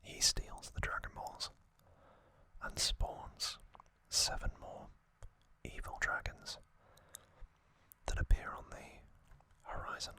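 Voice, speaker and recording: An ASMR host whispering an explainer in a hushed delivery, with frequent pauses.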